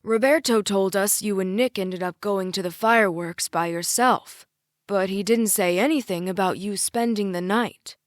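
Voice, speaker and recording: A woman's voice: mid-range voice, normal speed, no room sound, studio quality, lightly acted. A woman voicing a disapproving, awkward, imposing father in an audiobook, admonishing with emphasis. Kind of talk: audiobook character dialogue.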